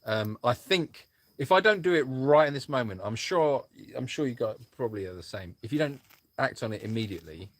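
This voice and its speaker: A man's voice: mid-range voice, animated, a little fast, no echo. A man co-hosting a casual livestream chat, telling a rambling anecdote and thanking viewers by name. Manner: rambling anecdotal storytelling with restarts. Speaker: sanctuary co-host.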